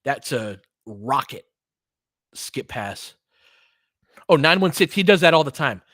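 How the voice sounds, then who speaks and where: A man gives casual basketball play-by-play with an analyst's eye. His pitch sits in an ordinary male range, and he moves from short, clipped calls to conversational tactical explanation. The sound is dry, with very little room in it. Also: sing-song, slow pace, fairly bright tone, dry recording. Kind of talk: casual live game commentary with tactical asides.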